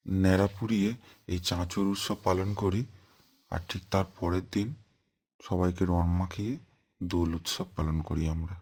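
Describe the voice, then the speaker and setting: Cartoon grandfather, male actor, low-pitched, elderly and kindly, patient explanatory storytelling. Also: a little mellow. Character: kindly old grandfather telling festival legends.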